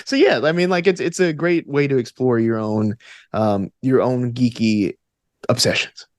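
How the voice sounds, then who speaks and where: A man's voice: medium pitch, moderate pace, a little mellow, no echo. A man from the American South chatting informally and enthusiastically as an interview guest, often saying "like" and "you know". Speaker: podcast guest, actor and gamer. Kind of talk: casual interview chat.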